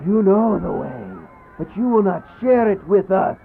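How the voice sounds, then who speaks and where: An actor playing a desperate, defiant vampire in a radio horror play, declaiming in an accusing manner. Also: male voice, high voice, expressive, measured pace, vocal fry, full character voice. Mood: accusing, demanding. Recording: muffled phone-like radio play, background noise.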